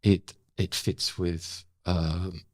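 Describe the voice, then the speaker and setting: An older man, a guest in a reflective conversation, speaking haltingly and thoughtfully as he searches for words. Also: low voice, level tone, no echo, studio quality. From Australia. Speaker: interview guest. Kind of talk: reflective long-form conversation.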